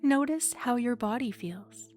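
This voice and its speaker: A woman speaking slowly and soothingly in a gently coaxing, playfully teasing ASMR guided relaxation. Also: fairly high pitch, animated, soft background music. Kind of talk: ASMR guided relaxation, hypnosis-style script.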